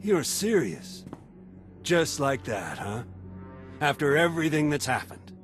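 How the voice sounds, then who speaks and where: A man's voice: fairly high pitch, big pitch swings, a little slow, soft background music. A man voicing a defiant but doubting rebel soldier in a video game cutscene, confrontational and wavering. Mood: shaken, doubting.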